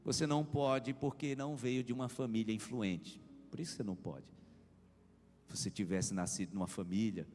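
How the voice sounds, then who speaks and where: A man's voice: lowish voice, darkish tone. A man preaching a sermon as pastor, driving his points home with swelling emphasis and repeated refrains. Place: church hall. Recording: reverberant church hall.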